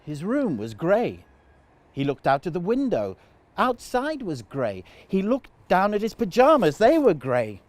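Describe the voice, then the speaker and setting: A man tells a children's story in an expressive, theatrical way. His voice is fairly high and very lively in pitch, and he takes it slowly with deliberate phrasing. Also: no room sound.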